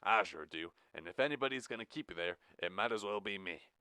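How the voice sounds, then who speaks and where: A menacing prison warden barks and taunts in a loud, raised voice with a drawl, acted by a man reading a story. The tone is bright and forceful, recorded dry and close. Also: mid-range voice, expressive, normal speed, no room sound, voice pushed up. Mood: taunting.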